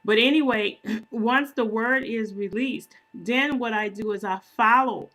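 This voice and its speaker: Woman channel host, slow unhurried pace, casual explaining tone, frequent throat clearing, filler-heavy pauses, self-correcting. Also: fairly high pitch, fairly bright tone, poor audio. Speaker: prophetic minister and video channel host. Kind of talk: casual screen-share explanation to viewers.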